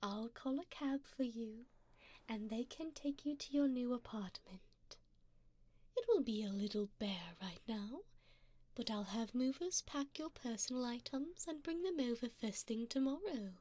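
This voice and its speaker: A woman voicing a doting, seductive, wealthy vampire in an intimate ASMR roleplay, speaking in a breathy near-whisper close to the mic with tender, genteel endearments. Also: a little high, lively intonation, measured pace, dark tone. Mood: doting, fond. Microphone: close binaural microphone.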